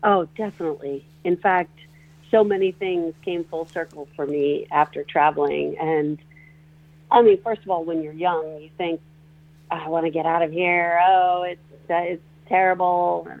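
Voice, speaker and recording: Rambling radio guest in their forties, gravelly and halting, heard through a tinny phone-like line. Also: mid-range voice, fairly even intonation. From northeastern Pennsylvania. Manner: rambling, hesitant, many fillers and restarts. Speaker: guest, musician and songwriter. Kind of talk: radio interview.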